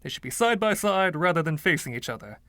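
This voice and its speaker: A man's voice: a little high, big pitch swings, quick pace, pushed and bright, no echo, pitched above the performer's own voice. A man voicing the haughty, indignant ghost of a rich 1920s dandy, pompous and fussy, with old-fashioned slang, in a story reading.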